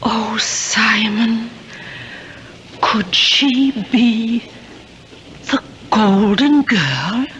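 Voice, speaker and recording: An actress playing a gentle, solicitous middle-aged wife with a soft, coaxing manner in a radio drama. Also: female voice, a little high, lively intonation, drawn-out words, pauses often, exaggerated voice. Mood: admiring, tender. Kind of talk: 1970s radio drama acting. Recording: dull, faintly noisy old radio recording.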